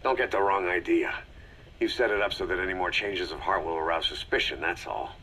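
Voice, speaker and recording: A man playing a gruff, stoic, terse mercenary commander in a video game audio drama, with a gravelly, husky voice. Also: low voice, a little fast, pushed and bright, heavily acted. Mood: stern, weary.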